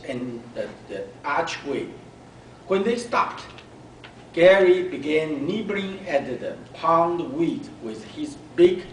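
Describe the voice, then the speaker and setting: A man reading a children's story aloud to a club, with deliberate, halting delivery. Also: a little high. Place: club meeting room. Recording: roomy, distant mic, faint noise.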